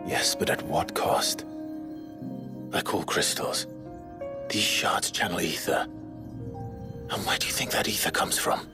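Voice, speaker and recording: Deep, stern rebel leader. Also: male voice, a little slow, long pauses. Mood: grave, insistent. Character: grave, resolute male rebel leader. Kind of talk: dramatic game dialogue. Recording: music behind.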